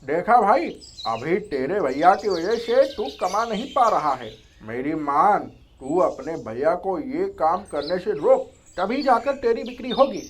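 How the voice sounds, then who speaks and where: A meddling village friend in a storybook tale, voiced by an actor. He goads and chides with very animated, swooping pitch. Faint noise and birds are heard behind. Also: measured pace. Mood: goading. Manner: pushy, egging on, chiding. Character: meddling, goading village friend of a young farmer.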